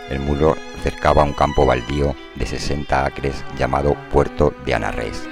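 A man narrating a dramatized novel in a measured, literary storytelling style. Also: extremely low pitch. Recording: prominent music under the voice.

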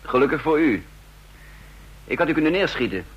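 A man's voice: fairly high pitch, lively intonation, normal speed, slightly rough, highs cut off. An actor playing a shrewd male counterintelligence chief who also narrates the radio drama, speaking with dry, self-assured irony. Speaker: narrator and lead character. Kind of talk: vintage radio drama acting.

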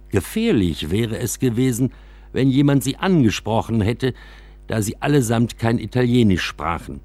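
Husky, low-voiced male storyteller, very expressive. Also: dry recording. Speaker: narrator of a radio drama. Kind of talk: scripted first-person storytelling with acted dialogue.

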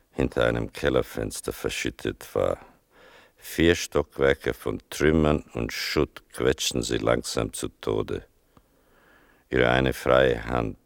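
A man playing a grave war veteran narrating his memories in a radio drama, deliberate and measured, with a gravelly voice. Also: a bass voice, no room sound, studio quality, exaggerated voice. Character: grave male war veteran recalling his mother and wartime.

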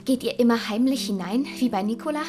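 An actress playing a shaken, accusing young foster daughter in a radio drama, pressing with questions. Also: female voice, a little high, fast, over music, natural voice. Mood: bitter accusing.

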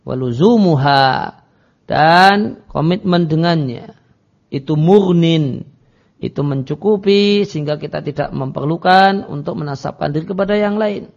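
Guy teaching an islamic text, kinda high voice w lots of creak, explains arabic phrases bit by bit, muffled lofi audio. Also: unhurried. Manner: didactic, reads Arabic phrases then translates and explains them. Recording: dull, lo-fi lecture audio.

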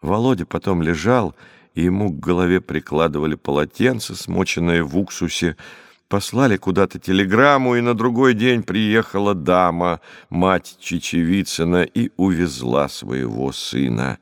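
A man reads a story as an audiobook narrator. His voice sits fairly low, and his phrasing is expressive and dramatic. The recording is very dry. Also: normal speed, no echo.

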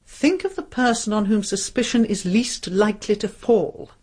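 A woman playing a scatty, opinionated middle-aged English crime novelist in a radio drama, husky and given to exclaiming. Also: medium pitch, lively intonation, normal speed, darkish tone. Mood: musing, fretful. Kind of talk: British radio drama acting.

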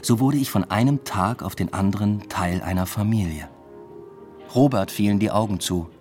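A man's voice: lowish voice, normal speed, a little mellow, soft background music, close to an everyday voice. An actor playing a weary, introspective male academic, narrating a radio drama in a reflective, understated way. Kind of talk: radio drama first-person narration and dialogue.

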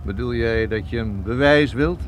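A man's voice: mid-range voice, normal speed, natural voice. A man acting an edgy drug-gang go-between in a radio drama, pressing and menacing yet hesitant. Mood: demanding. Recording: clearly noisy recording, car sounds.

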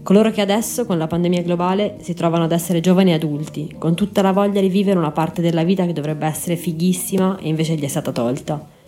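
Female podcast narrator, twenties, gentle mellow timbre, scripted read over quiet music. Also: lowish voice, quick pace. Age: 20s. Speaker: podcast host and writer.

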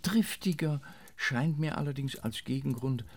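An actor playing a formal, pedantic doctor in a radio play, speaking in clinical, deferential phrases. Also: male voice, medium pitch, measured pace, darkish tone, a little hiss or hum, natural voice. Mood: pedantic, confiding. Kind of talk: German radio play dialogue.

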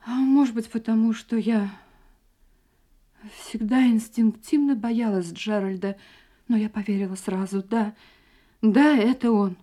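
An actress playing a frightened wife in a Russian audio drama, speaking in an agitated, halting, dramatic way. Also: female voice, a little high, warm tone. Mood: anxious, confessing. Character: frightened young wife recounting her escape from a murderous husband.

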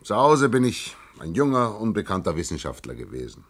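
An actor playing an earnest young scientist from another planet in a radio play, halting at times and given to grave pronouncements. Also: male voice, fairly low pitch, very animated. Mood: grave, explaining. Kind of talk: German radio play acting.

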